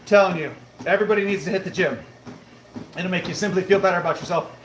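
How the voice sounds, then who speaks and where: A man's voice: high-pitched, quick pace. A man in his late thirties hosting a live stream from his treadmill, answering viewer comments in an upbeat, motivational way. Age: 30s. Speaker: livestream host. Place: home room with a treadmill, indoors. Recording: roomy echo, faint background noise.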